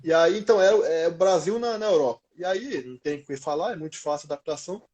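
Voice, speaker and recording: Higher-voiced Brazilian man chatting casually. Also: fast talker, a little mellow. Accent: Brazilian Portuguese. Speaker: former professional footballer being interviewed. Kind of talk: casual interview chat.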